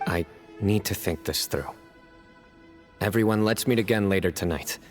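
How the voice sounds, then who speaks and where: An actor playing a stoic, resolute young man in a video game, speaking gravely and deliberately. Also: sits low, natural voice. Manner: serious, restrained, deliberate. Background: background music.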